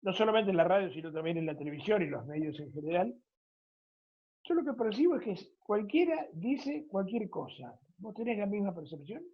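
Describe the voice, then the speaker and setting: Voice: medium pitch, sing-song, normal speed, slightly rough, muffled recording. An interviewer with an Argentine accent, hosting a conversational radio interview. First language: Spanish.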